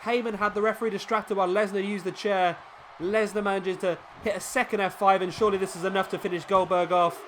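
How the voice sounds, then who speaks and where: Gamer commentator: man, slightly high voice, a bit sniffly from a cold, excitable play-by-play, clear background noise. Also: quick pace. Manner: excitable, rising during big moves. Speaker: gaming YouTuber commentating a wrestling game.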